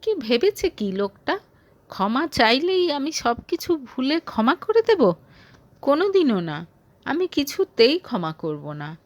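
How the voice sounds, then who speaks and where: A man's voice: very high-pitched, big pitch swings, unhurried, no echo, voice pushed up, full character voice. A woman voicing a distraught young male boss in an audio story, hurt, stubborn and pleading like a child. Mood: tearful, bitter.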